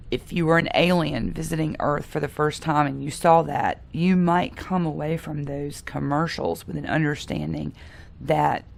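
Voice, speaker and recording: A woman in her thirties or forties lectures in a deep voice with a gravelly edge. She sounds stuffy from a cold, and her explanation runs with the odd um and a restarted phrase. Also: darkish tone. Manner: explanatory, with filler ums and restarts. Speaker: psychology professor. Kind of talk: recorded lecture.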